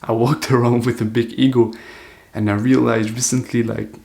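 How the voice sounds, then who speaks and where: A man's voice: mid-range voice, level tone, moderate pace, gravelly, a little mellow. An eighteen-year-old man talking to camera in an earnest, loose, reflective monologue, full of "like" fillers. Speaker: self-improvement vlogger.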